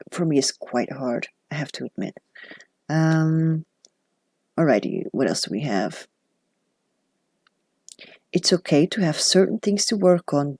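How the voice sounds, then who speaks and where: A woman's voice: low voice, a little mellow, slightly rough, no echo. Chatty woman, dry close sound. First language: Dutch. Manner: chatty and reflective, with self-deprecating asides. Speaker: journaling vlogger. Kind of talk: casual chat.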